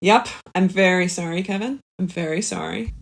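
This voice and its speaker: A woman's voice: fairly low pitch, moderate pace. A woman chatting casually as a guest comedian and judge of a game on a comedy podcast. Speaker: guest comedian judging pitches. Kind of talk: casual game-judging chat.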